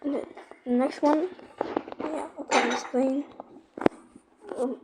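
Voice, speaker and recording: A female speaker giving a casual, informal explanation, often halting and searching for words. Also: high voice, fairly even intonation, very noisy. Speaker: YouTuber explaining horror lore.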